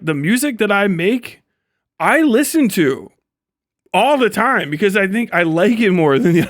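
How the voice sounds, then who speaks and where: Male streamer, higher register, dry close sound, blunt and profane off-the-cuff ranting. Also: a bit bright, no echo. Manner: foul-mouthed, frequent heavy swearing. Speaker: livestream host and content creator. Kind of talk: casual livestream chat, ranting. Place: indoor home streaming setup. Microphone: close studio microphone.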